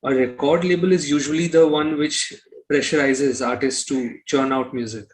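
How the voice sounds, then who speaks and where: A man's voice: medium pitch, steady tone, normal speed, poor audio. A man speaking as an interview guest, blunt and opinionated, often repeating himself. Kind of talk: conversational interview.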